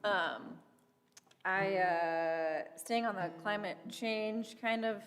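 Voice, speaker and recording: Quiet-voiced woman between songs. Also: medium pitch, fairly even intonation, slow pace. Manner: quiet, hesitant, many fillers. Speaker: singer-songwriter. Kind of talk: chatting between songs at a live showcase. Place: historic hall, live concert. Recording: live music hall recording with guitar.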